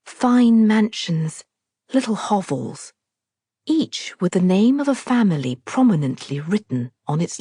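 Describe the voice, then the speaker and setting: A woman reading a novel aloud as a scripted literary narration, in a measured, deliberate, expressive storytelling manner. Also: mid-range voice, breathy edge, no room sound, studio quality. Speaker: author narrating her own audiobook.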